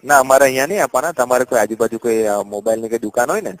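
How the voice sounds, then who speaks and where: A man's voice: mid-range voice, lively intonation, rapid-fire. A man with a Gujarati accent working as a customer care agent on a phone call, patient, deferential and placating. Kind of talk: polite helpline conversation.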